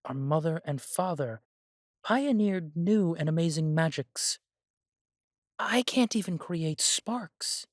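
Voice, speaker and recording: Man playing a humble, gentle elf shopkeeper, dry close sound. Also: on the high side, animated, slow, no room sound. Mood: downcast, self-doubting. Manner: gentle, chatty, self-deprecating. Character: immortal elf man, humble self-doubting coffee shop owner. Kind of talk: fantasy roleplay audio drama acting.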